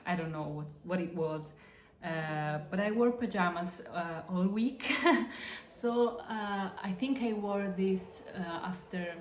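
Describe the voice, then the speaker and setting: A woman with an Italian accent talks slowly through her knitting projects, her English halting and full of fillers and restarts. Her voice sits a little low, and the room around her rings with echo. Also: a little hiss or hum. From Italy. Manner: hesitant, halting, many fillers and self-corrections. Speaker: podcast host. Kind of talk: casual show-and-tell of crafts. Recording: echoey room.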